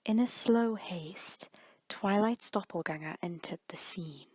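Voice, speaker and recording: A woman reading a scripted story as narrator, measured and dramatic, with deliberate pauses. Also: lowish voice, darkish tone.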